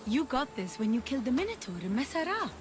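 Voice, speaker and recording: A woman's voice: high voice, sing-song, fast talker, noisy background. An actress playing a wry, affectionate young wife and mother in an ancient Greek video game drama. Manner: wry, gently teasing.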